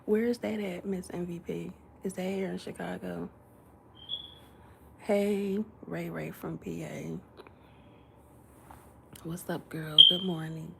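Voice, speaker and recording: A young woman streaming from inside someone else's home, keeping her voice hushed and low, often slipping into a whisper. Her tone is bright and gravelly, with a slightly low pitch. She tells stories animatedly and plays out both sides of each conversation. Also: slow pace, long pauses, a little hiss or hum. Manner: animated storytelling, acting out dialogue. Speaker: live-stream vlogger. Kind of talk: casual chat with live viewers. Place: indoors in someone else's house.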